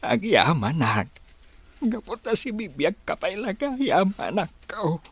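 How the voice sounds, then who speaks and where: An ailing old Ilocano father in a radio drama. His husky voice moves slowly and swings up and down as he pleads, heavy with regret and tenderness for his child. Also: high-pitched, big pitch swings, exaggerated voice. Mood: emotional, remorseful. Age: older.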